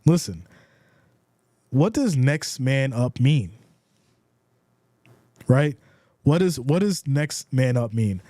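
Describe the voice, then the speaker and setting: A man's voice: mid-range voice, slow pace, long pauses, dry recording. A man with a congested voice doing casual play-by-play commentary on a live stream, calling plays and reading out viewer comments. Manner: builds excitement calling plays.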